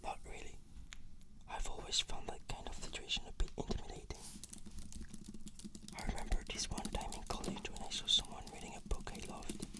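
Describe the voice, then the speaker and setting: A woman plays a therapy patient in an ASMR roleplay. She whispers throughout, giving scripted answers in a measured, articulate way. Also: sharp tone, no room sound.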